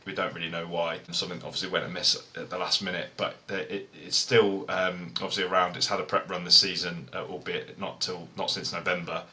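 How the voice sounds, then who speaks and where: A man's voice: low voice, sharp tone. A man co-hosting a podcast, chatting casually and jokily about horse racing tips. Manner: chatty, jokey, quick to laugh. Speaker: podcast co-host.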